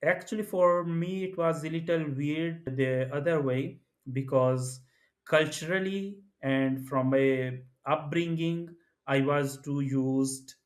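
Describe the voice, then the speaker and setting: A man from Pakistan co-hosting a business culture talk, speaking thoughtfully with many restarts. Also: medium pitch. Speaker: co-host. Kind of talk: conversational podcast discussion.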